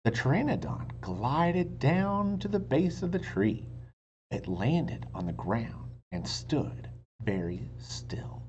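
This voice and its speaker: Very animated, unhurried male children's storyteller. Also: fairly high pitch, dull audio, a little hiss or hum. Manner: dramatic, stretches words, drops to hushed whispers. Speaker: read-aloud storyteller and teacher. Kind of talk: expressive children's book read-aloud with asides to listeners.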